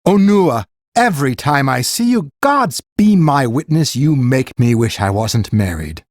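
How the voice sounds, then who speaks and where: A roguish fantasy baron with a lilting, very animated voice. He is folksy and teasing, like a nobleman who never took to titles, recorded close and dry for an audiobook. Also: mid-range voice, measured pace, dry recording. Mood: teasing, jovial. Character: roguish, teasing common-born baron, broad-shouldered grown man. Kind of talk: full-cast audiobook character acting.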